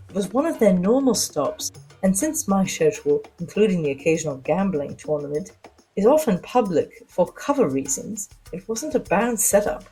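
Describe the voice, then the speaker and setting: Woman acting suave socialite spy, rather low female voice, wry, self-assured, theatrical pauses, audio drama narration, faint music behind. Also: mellow, lightly acted, low-quality recording. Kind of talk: first-person scripted dramatic narration.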